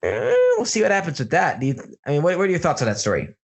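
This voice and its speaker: A man's voice: fairly high pitch, very animated, racing. Casual American man hosting a podcast. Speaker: podcast host. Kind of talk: casual comedic news banter.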